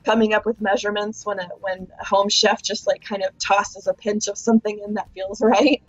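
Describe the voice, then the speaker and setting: A woman's voice: medium pitch, flat delivery, fairly quick, dry recording, faint music behind. Chatty woman food blogger. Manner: chatty, detailed explainer. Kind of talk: casual interview chat. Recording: dull lo-fi recording.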